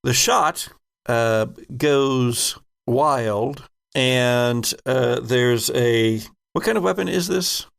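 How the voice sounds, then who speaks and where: A man narrating a horror tabletop game as game master, halting as he builds vivid descriptions. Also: medium pitch, vocal fry, clean tone.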